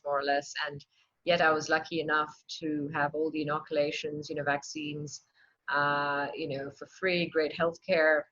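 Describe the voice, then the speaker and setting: A woman in her sixties from Singapore, an entrepreneur answering interview questions enthusiastically with lots of fillers and restarts. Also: deep voice. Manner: many fillers and restarts, laughs easily, warm enthusiastic. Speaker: entrepreneur guest. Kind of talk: candid interview conversation. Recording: dull lo-fi sound.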